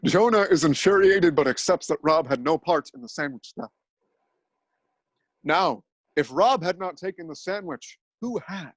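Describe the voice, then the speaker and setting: A man narrating a scripted dramatic reading, telling absurd events in an earnest, deadpan way. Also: fairly high pitch, moderate pace, no room sound, low-quality recording.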